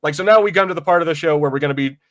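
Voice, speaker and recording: Fast-talking man, casual podcast co-host. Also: fairly high pitch, a bit bright. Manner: swears casually. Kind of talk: casual film review podcast chat.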